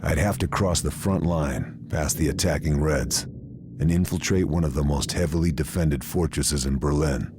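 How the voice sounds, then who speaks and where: An actor playing a hardened American wartime sniper officer, narrating a war game in a terse, world-weary, laconic manner. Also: male voice, a bass voice, normal speed, background noise. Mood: terse, resolute. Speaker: narrator and protagonist.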